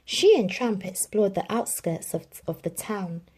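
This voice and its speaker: A woman reading a children's storybook aloud in an expressive storytelling manner. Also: medium pitch, a little slow, husky. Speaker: children's book reader.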